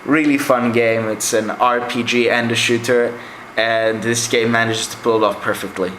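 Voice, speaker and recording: A man's voice: medium pitch, flat delivery, moderate pace, background noise. A young man whose first language is Greek gives a casual, rambling review of his game collection, with many fillers. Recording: echoey room.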